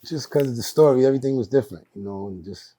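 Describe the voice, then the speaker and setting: A man's voice: mid-range voice, fast talker, mellow. A man, a guest on a podcast, telling his story in a laid-back, slangy, rambling way. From Brooklyn, New York. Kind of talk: casual interview storytelling.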